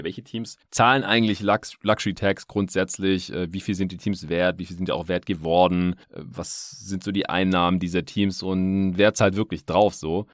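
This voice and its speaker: A man's voice: sits low, dry recording, clean studio sound. A man hosting a basketball podcast in casual talk, explaining things colloquially with English sports jargon mixed in.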